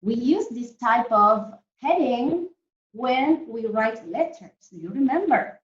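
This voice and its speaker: A woman teacher reading a story to young children in a deliberate, animated way, often putting questions to them. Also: a little high. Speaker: primary school teacher reading a story to pupils. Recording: roomy, dull lo-fi sound.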